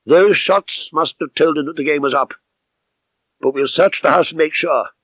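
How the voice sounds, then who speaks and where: A man voicing a keen, masterful male detective with a crisp, formal, authoritative manner in an audiobook story. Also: a little high, moderate pace, fairly bright tone, no room sound. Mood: brisk, urgent.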